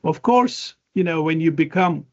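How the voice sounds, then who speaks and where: A man's voice: a little high, lively intonation, moderate pace, dull audio. A man in his fifties with a Turkish accent, a professor chatting as an interview guest, rambling with many fillers and restarts. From Turkey. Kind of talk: casual interview conversation.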